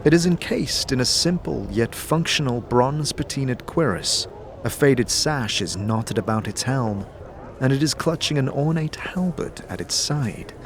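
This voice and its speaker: A man narrating a scripted fantasy audio drama in a measured, descriptive storytelling manner. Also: medium pitch, expressive, background noise.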